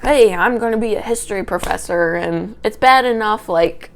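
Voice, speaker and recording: A woman's voice: mid-range voice, normal speed, slight background noise. Rambling young woman with a small voice. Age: young adult. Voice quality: small, light voice. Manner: rambling, trips over words. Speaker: vlogger, history student. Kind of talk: unedited personal vlog. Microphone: small handheld microphone.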